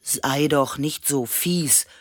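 A woman voicing a gentle, gruff, fair-minded male stuffed lion in a children's storybook, calm and mildly reproachful. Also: takes it slowly, no echo, clean studio sound. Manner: calm, reproachful, low growl.

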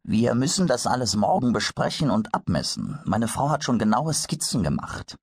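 A man voicing an elderly professor in a storybook reading, halting and full of hesitations. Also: medium pitch, big pitch swings, husky, no echo, studio quality, voice pushed up. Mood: polite.

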